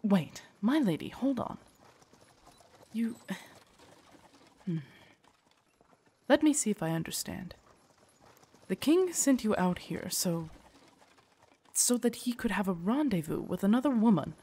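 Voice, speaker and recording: A woman playing a loyal, protective female knight in an audio drama, formal, deferential and earnest, with a husky voice. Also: mid-range voice, animated, unhurried, long pauses, dark tone. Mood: startled, urgent. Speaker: voice actor in audio roleplay. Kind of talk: acted immersive audio drama monologue.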